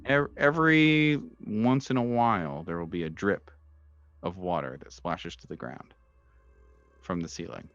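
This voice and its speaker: A low-pitched man acts as game master for a tabletop horror session, describing scenes in halting, tentative phrases. The recording is dry and somewhat dull and lo-fi. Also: fairly bright tone, no echo.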